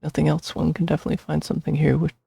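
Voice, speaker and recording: A man with a gravelly, husky voice giving casual game commentary and reading aloud as a streamer. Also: fairly high pitch, monotone, very fast, dark tone, no room sound. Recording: dry close mic, game music behind.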